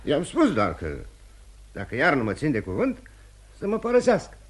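An actor playing a dissolute, self-loathing husband in radio theatre, halting and repeating words theatrically. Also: male voice, a little high, wildly swooping pitch, husky, exaggerated voice. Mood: bitter, self-loathing. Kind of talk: mid-20th-century radio theatre acting. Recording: old radio drama, music behind.

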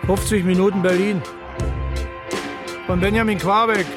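A man's voice: sits high, steady tone, moderate pace, over music. A man reading scripted radio drama credits as an announcer.

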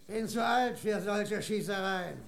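An actor playing a weary old coffeehouse regular in a German radio drama. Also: male voice, sits high, unhurried, creaky. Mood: wry.

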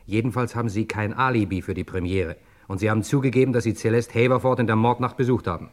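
An actor playing a tough, mocking police lieutenant in a radio crime drama, hard-boiled and insistent. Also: male voice, on the low side, steady tone, fast, natural voice. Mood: accusing.

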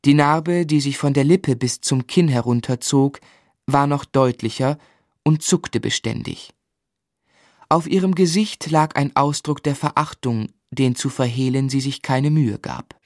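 Dry-sounding, earnest young gentleman narrator played by a man. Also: mid-range voice, no room sound, natural voice. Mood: reflective. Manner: measured, reflective, formally polite. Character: earnest, restrained young gentleman telling his life story. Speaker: narrator and protagonist. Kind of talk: literary radio play narration.

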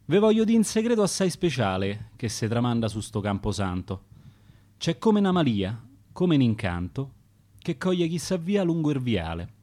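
A man with a Roman accent narrating dialect sonnets as a gravedigger for a radio play, in a measured storytelling manner. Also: medium pitch, big pitch swings, mellow. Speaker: narrator and author.

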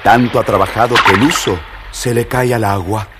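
A man's voice: on the low side, fairly even intonation, normal speed, very noisy. A man narrating a scripted children's fairy tale as storyteller, theatrical, firm and explanatory.

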